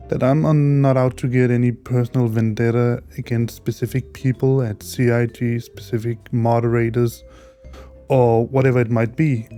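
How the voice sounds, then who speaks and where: A Danish-accented man talks to his viewers in a soft, mellow tone, weighing each word and often starting his sentences over. He sounds a little tired, as if it were very early in the morning. Quiet music plays faintly behind him. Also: medium pitch. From Denmark. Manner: hesitant, many restarts and fillers, careful wording. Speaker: channel host. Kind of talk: casual solo commentary vlog.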